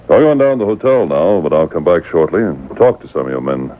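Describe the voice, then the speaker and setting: A resolute Mountie sergeant in an old radio serial. His voice is very deep and moves in an animated way. The sound is thin and phone-like, as if taped off a radio. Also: quick pace. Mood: firm, businesslike. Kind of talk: old-time radio drama acting. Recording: tinny old radio broadcast taped on boombox.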